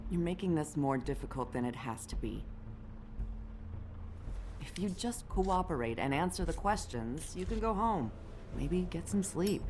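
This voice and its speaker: A woman playing a female police detective in a video game, with a measured way of speaking. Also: low-pitched, darkish tone, a little hiss or hum.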